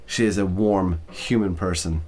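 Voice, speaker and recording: Man acting an earnest, formal young gentleman. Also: deep voice, steady tone, normal speed. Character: earnest, devoted young gentleman, loyal son. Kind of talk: storybook reading with character voices.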